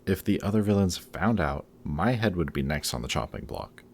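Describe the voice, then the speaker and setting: A man's voice: deep voice, lively intonation, moderate pace, slight background noise. A man playing a guarded supervillain in a roleplay audio drama, sardonic and awkward whenever he shows that he cares. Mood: guarded, boastful. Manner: sardonic, hesitant when showing care. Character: gruff, guarded male supervillain, secretly caring, sardonic.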